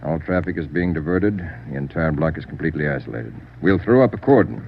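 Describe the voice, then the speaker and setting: A man playing a hard American police chief in a 1940s radio crime drama, giving clipped orders with gruff authority. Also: extremely low pitch, lively intonation, fast, a little hiss or hum. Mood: commanding. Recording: dull 1940s radio recording, music behind.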